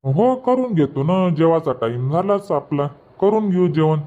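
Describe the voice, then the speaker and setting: A man's voice: a little high, animated, unhurried, dark tone, dull audio. A man voicing an older, doting farmer grandfather in a cartoon comedy, homely and indulgent, with a rural Vidarbha Marathi accent. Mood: easygoing.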